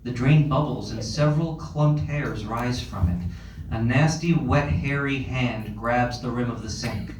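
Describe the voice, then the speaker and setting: A man's voice: mid-range voice, a little slow. A man narrating stage directions from a script at a live comedy table read. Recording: echoey room, distant mic, noisy.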